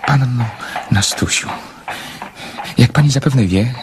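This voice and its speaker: An actor playing a lonely, shy, lyrical dreamer in a radio drama, with a hesitant, theatrical delivery. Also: male voice, mid-range voice, takes it slowly, very noisy, close to an everyday voice. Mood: dreamy, lyrical. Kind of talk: Polish radio drama acting.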